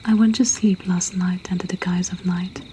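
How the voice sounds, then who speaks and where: A woman reading poetry and stories in a breathy whisper, in a soothing, hushed ASMR style. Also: lowish voice, steady tone, slight background noise. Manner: slow, soothing, hushed delivery. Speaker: poetry reader and host.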